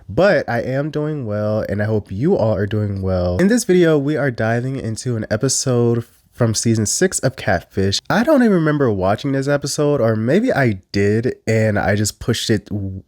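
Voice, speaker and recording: A man with a congested, stuffed-up voice gives chatty commentary. He is blunt and a bit crude, with a mid-range pitch. His voice is close and dry, with no room sound behind it. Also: very animated, moderate pace, darkish tone, dry recording. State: congested from a cold. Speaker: YouTube commentator. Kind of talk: casual reaction commentary.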